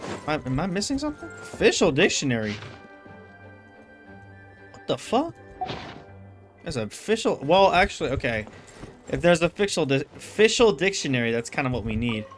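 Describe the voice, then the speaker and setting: A man's voice: on the high side, big pitch swings, normal speed, pauses often. A man streaming a video game, reacting with profane, joking commentary. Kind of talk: casual live gameplay commentary. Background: game music.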